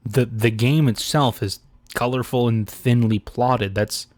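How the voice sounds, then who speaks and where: A man's voice: medium pitch, fairly even intonation, measured pace, darkish tone, no echo, faint music behind. A man giving casual, opinionated video commentary in a blunt, sarcastic, sweary manner.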